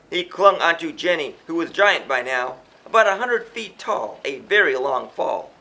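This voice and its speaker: A man narrates a children's story in verse. His voice sits high and swings widely in pitch. He leans into the rhythm theatrically, like a storybook reader performing for young listeners. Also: pushed and bright. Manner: theatrical, sing-song rhythmic delivery. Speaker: children's book author reading aloud.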